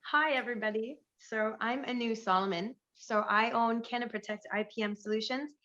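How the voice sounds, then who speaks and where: A woman recovering from a lost voice, so it squeaks now and then, speaking enthusiastically and off the cuff on a conference panel over a video call. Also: moderate pace, a bit bright, highs cut off. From Canada. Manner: enthusiastic, chatty, improvising. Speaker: panelist, pest management business owner.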